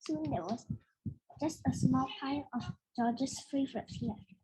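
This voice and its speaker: A woman reading a children's picture book aloud in an expressive way, as if to young listeners. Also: sits high, measured pace, slight background noise, poor audio. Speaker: read-aloud storyteller.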